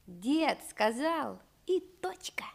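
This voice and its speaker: A woman's voice: sits high, big pitch swings, takes it slowly, gravelly, heavily acted. A woman playing a young village boy, touchy and proud, with rustic childlike speech in a radio play. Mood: chatty, thoughtful.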